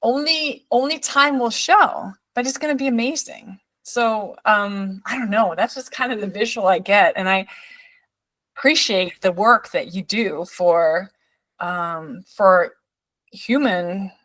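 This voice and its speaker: A woman's voice: medium pitch, takes it slowly, a bit bright, no echo. A woman from Denmark hosting a podcast interview, talking in a rambling way with many restarts and appreciative remarks. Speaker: podcast host.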